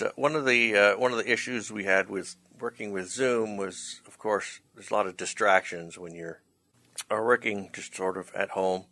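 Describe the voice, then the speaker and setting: A man hosting in a casual chat, talking in a halting, hesitant way. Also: low-pitched, gravelly, pushed and bright, no room sound. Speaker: host, writer-director of the play. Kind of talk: casual chat introducing bloopers.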